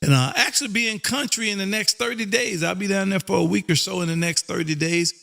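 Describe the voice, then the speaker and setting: An exuberant, folksy American man with a gravelly voice, chatting casually on air as a ham radio host and repeating his catchphrases. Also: high voice, fast, a bit bright. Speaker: amateur radio operator and livestream host.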